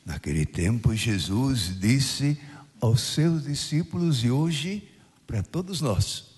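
Older priest preaching in an echoing church. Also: male voice, medium pitch, breathy edge. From southern Brazil. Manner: preaching with rising emphatic phrases and questions to the congregation. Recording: radio broadcast of a mass in a reverberant church.

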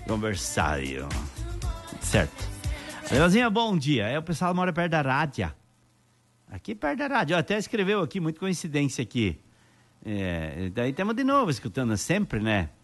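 A man's voice: on the high side, huge pitch swings, moderate pace, faint music behind. A man hosting a casual radio show, greeting listeners and telling jokes with frequent laughter. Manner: jokey, chatty, laughs often. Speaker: radio host. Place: radio studio.

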